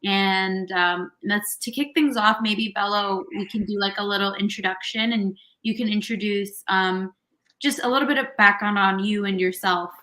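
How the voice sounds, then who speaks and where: A woman's voice: medium pitch, moderate pace, sharp tone. A woman hosting a live-stream auction interview in an upbeat, casual way. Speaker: host.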